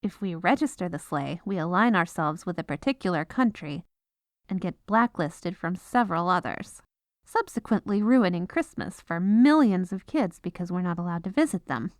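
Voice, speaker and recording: A woman's voice: on the low side, animated, moderate pace, dry recording, studio quality, lightly acted. A woman playing an exasperated, businesslike head lawyer at the North Pole in a scripted comedic audio roleplay. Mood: matter-of-fact. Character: exasperated, dutiful head legal advisor at Santa's North Pole.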